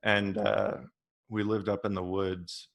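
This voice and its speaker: A man of thirty from Oregon, a podcast guest, chatting in a relaxed, rambling way with many fillers. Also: fairly low pitch, slow, highs cut off. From Oregon, USA. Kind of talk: casual chat.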